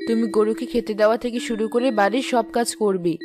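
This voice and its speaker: A woman's voice: fairly high pitch, steady tone, quick pace, close to an everyday voice. A woman voicing an elderly village auntie in a cartoon, giving matter-of-fact instructions over music. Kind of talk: moral-story cartoon acting.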